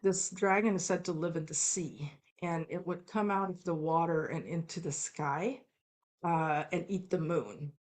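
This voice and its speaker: A middle-aged woman from the Philippines with a notably low voice gives an unscripted teaching talk. She speaks in a relaxed way, filling pauses with ums and starting sentences over as she goes. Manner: relaxed, with frequent ums and restarts. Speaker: spiritual course teacher.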